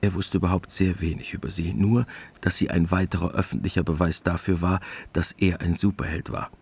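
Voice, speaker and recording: A man's voice: deep voice, fairly even intonation, fairly quick, creaky, darkish tone, slightly rough. A man narrating a literary radio drama in a matter-of-fact storytelling manner.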